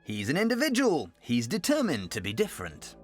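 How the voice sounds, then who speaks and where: A man's voice: medium pitch, wildly swooping pitch, brisk. A man narrating police footage in a dry, mocking, tongue-in-cheek scripted voice-over. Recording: voice-over over music.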